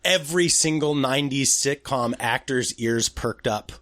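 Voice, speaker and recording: A man in his thirties co-hosting a casual podcast chat, excitable and animated, with gasps and quick asides. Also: medium pitch, no echo. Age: 30s. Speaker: podcast co-host.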